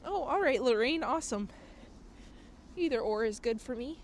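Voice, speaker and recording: A woman's voice: a little high, animated, normal speed, slight background noise. Chatty woman streaming outdoors, slightly nasal. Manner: chatty, laughs often. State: recovering from a cold, slightly nasal. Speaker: IRL streamer. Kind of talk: casual live-stream chat reading viewer comments. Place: outdoors on city streets at night.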